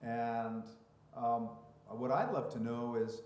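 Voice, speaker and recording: Man, moderator, mid pitch, reflective and expansive, thinking aloud, echoey event room. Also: fairly even intonation, slow pace, darkish tone. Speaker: moderator and writer. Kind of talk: live panel conversation. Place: public library event room. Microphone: handheld or stand mic. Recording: roomy echo of a live event.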